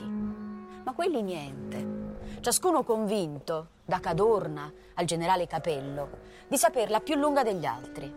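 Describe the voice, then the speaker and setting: An actress performing as an impassioned, bitterly indignant storyteller of a lost war, in theatrical, emphatic scripted narration. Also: female voice, medium pitch, a little mellow, lightly acted.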